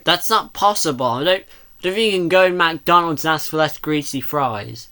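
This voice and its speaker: A bright, forward voice gives casual reaction commentary. The speaker rambles, questions and picks at details in a medium-pitched voice. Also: lively intonation, moderate pace, clean tone.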